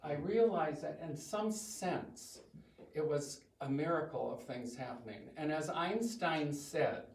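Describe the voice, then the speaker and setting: A man's voice: on the high side, a little slow, creaky. An older man from the US Midwest with a big voice, giving a chatty, self-joking talk to a church congregation. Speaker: guest speaker. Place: church hall. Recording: echoey hall from far off.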